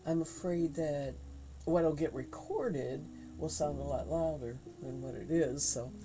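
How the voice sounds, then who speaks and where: A woman with a head cold chatting casually on a livestream while she spins yarn at home, rambling with many fillers and halting pauses. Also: low voice, rough recording. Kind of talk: casual chat while spinning. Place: home craft room. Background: faint quiet music.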